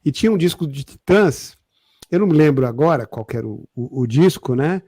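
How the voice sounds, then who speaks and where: Higher-voiced Brazilian man in his late fifties. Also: lively intonation, brisk, mellow, husky, dry recording. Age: 50s, almost sixty. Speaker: podcast co-host. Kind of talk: casual chat, reminiscing.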